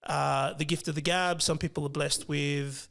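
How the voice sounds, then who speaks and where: Gravelly Australian man, hesitant chat. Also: on the high side, steady tone, normal speed, fairly bright tone. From Western Sydney, Australia. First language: Fijian. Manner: hesitant, many ums and restarts, laughs easily. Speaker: financial planner guest. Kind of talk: casual interview chat.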